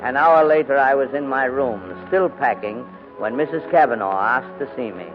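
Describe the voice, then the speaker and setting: A man acting an elderly, flustered English gentleman in 1940s radio drama, with a fussy, formal, sometimes stammering delivery. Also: mid-range voice, moderate pace, over music, lightly acted. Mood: narrating, composed. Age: older. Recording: tinny, noisy old 1940s radio recording.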